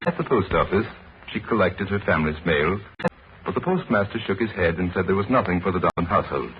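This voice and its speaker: A man narrates in the solemn, measured style of old radio drama. His voice sits fairly low. The broadcast sounds old and dull, with music playing clearly behind him. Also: expressive, a little hiss or hum.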